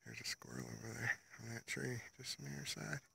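A man's voice: mid-range voice, steady tone, fast talker, creaky, sharp tone, slightly rough. A man narrating casually while hiking through a wooded ravine, rambling in a laid-back, folksy way, recorded on a handheld camera outdoors. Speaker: vlogger. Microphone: handheld camera while walking.

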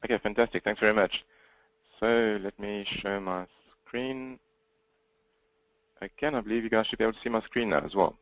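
A man with a South African accent giving a webinar presentation as a business coach, talking fast with a slight mumble and frequent restarts. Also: fairly low pitch, no room sound, low-quality recording.

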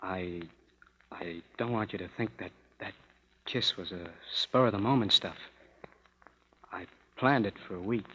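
A man's voice: sits low, unhurried, long pauses, pushed and bright, slight background noise. An actor playing a gloomy, wisecracking young man in a 1930s radio drama. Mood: subdued. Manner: sardonic, fatalistic. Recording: muffled old radio broadcast in a hall.

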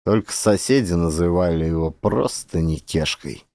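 A man narrating a literary story as a dramatized audiobook, with expressive, theatrical delivery. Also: low-pitched, normal speed, background noise.

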